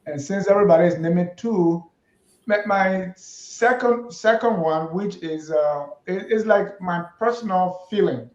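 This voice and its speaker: A man's voice: high-pitched, a little slow, darkish tone. A man hosting a panel discussion, talking in a reflective, hesitant way with many restarts. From Liberia. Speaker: host. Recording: echoey, dull lo-fi sound.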